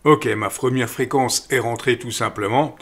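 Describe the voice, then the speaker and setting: A middle-aged man calmly walking viewers through an app step by step, with frequent fillers. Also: medium pitch, normal speed. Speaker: radio equipment channel host. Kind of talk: screen-recorded app tutorial voice-over.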